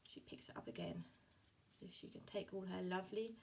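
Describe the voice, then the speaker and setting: A woman's voice: lowish voice, fairly even intonation, normal speed, creaky, whispered, recorded from a distance. A woman telling a story to young children as a puppeteer.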